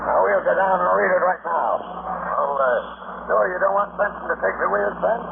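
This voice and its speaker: On a noisy vintage radio recording, a greedy, gravelly sea captain blusters and commands. His salty talk swings widely in pitch, with a menacing edge. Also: lively intonation, normal speed. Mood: excited, bossy. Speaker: actor in a radio drama. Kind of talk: old-time radio drama acting. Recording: noisy, narrow-band old radio recording.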